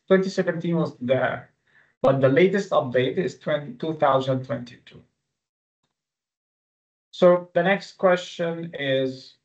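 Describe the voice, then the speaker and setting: A man whose native language is Arabic, teaching an online exam-review webinar with live quiz questions in an informal, halting way. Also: a little high. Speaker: exam-prep instructor. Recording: dull, lo-fi webinar audio.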